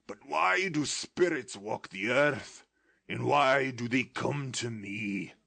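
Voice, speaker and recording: A man's voice: mid-range voice, expressive, slow pace, vocal fry, sharp tone, no room sound, pitched above the performer's own voice, exaggerated voice. A man voicing a frightened old miser who exclaims and pleads in an agitated way.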